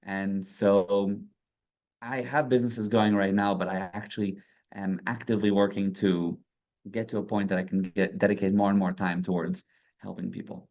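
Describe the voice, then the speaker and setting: Low-voiced man with Israeli-American accent. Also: little pitch movement, moderate pace, dull audio. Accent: mixed Israeli-American. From South Africa. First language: Hebrew. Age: 30s. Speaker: guest entrepreneur. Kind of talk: casual interview chat.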